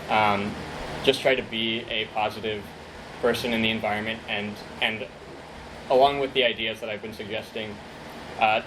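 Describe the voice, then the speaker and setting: A man's voice: on the low side, background noise. Hesitant young man answering questions. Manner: hesitant, many fillers and restarts. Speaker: conference speaker. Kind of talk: conference Q&A answer.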